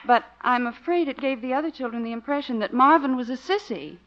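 An actress playing a composed, earnest schoolteacher, polite and gently admonishing, in 1950s radio comedy. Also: female voice, high-pitched, fairly quick, natural voice. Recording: dull old 1950s radio recording.

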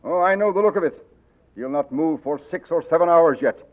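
An actor playing an older, loyal colonel who speaks in a grave, commanding way, in 1940s radio acting. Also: male voice, fairly high pitch, brisk, natural voice. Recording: tinny old radio broadcast.

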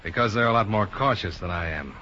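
Hard-nosed male investigator, forceful low-leaning voice, dry and clipped, old radio drama. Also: animated, a little fast. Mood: blunt, cool. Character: blunt, cool-headed male insurance investigator and narrator. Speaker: lead actor. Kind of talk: 1950s radio detective drama acting. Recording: dull, noisy 1950s radio recording.

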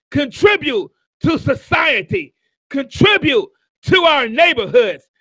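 Man, 50s, motivational preacher; high bright voice, dry close sound, emphatic and repetitive. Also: no echo, poor audio. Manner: emphatic, repetitive, building to shouts. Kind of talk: sermon-style motivational speaking.

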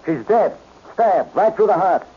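Irascible cop, vintage radio crime show: raspy, animated, blunt, always exasperated. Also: male voice, sits high, measured pace, heavily acted. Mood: stern. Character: gruff, irritable male police inspector. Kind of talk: 1940s radio detective acting. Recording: muffled old radio recording.

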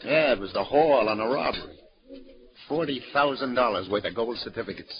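An actor playing a world-weary, street-wise police detective in a vintage radio crime drama, with an American accent and clipped, brusque delivery. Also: male voice, medium pitch, expressive, fairly quick, background noise, natural voice. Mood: matter-of-fact. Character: world-weary, hard-boiled male New York police detective. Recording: dull vintage radio recording, crowd murmur behind.

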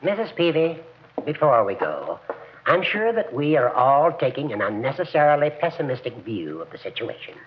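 An actor playing a pompous, flowery gentleman offering formal consolation in 1940s radio comedy. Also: mid-range voice, sing-song, moderate pace, a little hiss or hum, lightly acted. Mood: earnest, consoling. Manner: formal, oratorical. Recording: muffled 1940s radio recording.

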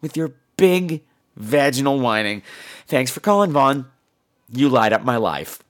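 A man's voice: medium pitch, big pitch swings, unhurried, a bit bright. A man with a laryngitis-sore throat, chatting off the cuff as a podcast host in a campy, catty, sarcastic and sweary way. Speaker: podcast host taking listener voicemails. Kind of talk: casual, off-the-cuff talk show chat.

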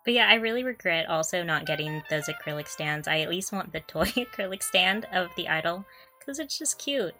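Giggly woman on a fan podcast, forward bright voice, kinda lower pitch, casual and gushy. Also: expressive, brisk, soft background music, rough recording. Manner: gushing, giggly. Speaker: podcast co-host.

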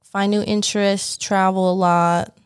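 A woman's voice: mid-range voice, little pitch movement, a little slow, smooth, no room sound, clean studio sound. A young woman from northern Vietnam, a salon owner talking casually and bluntly about her business plans, swearing now and then. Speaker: lash salon owner, guest. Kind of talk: casual podcast chat.

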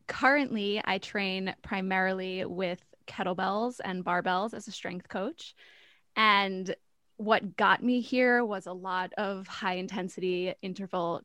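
A woman's voice: mid-range voice, fairly bright tone, no echo. A woman in her thirties speaks as a strength coach on a podcast. She sounds upbeat and confident. The recording is dry and close, with almost no room sound. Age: 30s. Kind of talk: casual group chat.

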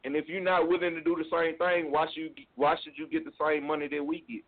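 A man's voice: on the high side, level tone, a little fast. Laid-back man on a phone line. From Mississippi. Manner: laid-back, repeats "you know what I'm saying". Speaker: music label co-owner guest. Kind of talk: casual phone interview.

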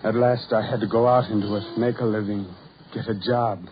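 An actor playing a tormented, lonely ugly sideshow man, brooding and dreamy in halting, broken phrases, in the style of 1940s radio horror. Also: lowish voice, flat delivery, noisy background, lightly acted. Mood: bleak resignation. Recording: muffled vintage 1940s radio recording.

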